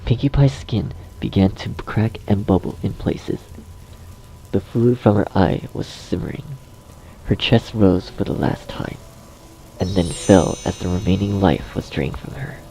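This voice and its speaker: A man's voice: low-pitched, a little slow, gravelly, dark tone, a little hiss or hum, rough recording. A man reading scripted horror story narration as the narrator. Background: music.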